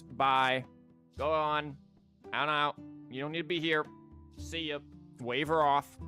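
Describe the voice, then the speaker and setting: A man's voice: medium pitch, bright, forceful tone. Sarcastic, foul-mouthed young man streaming. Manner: profane, sarcastic joking at the game. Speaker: streamer playing a story game. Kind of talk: live gameplay commentary. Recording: faint game music behind.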